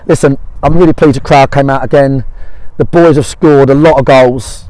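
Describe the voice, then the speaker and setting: A man's voice: medium pitch, fairly even intonation, normal speed, a little mellow, no room sound, rough recording. A middle-aged man giving casual post-match talk as a football manager, blunt and matey, repeating short phrases for emphasis. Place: outdoors on an empty football pitch by a small stand.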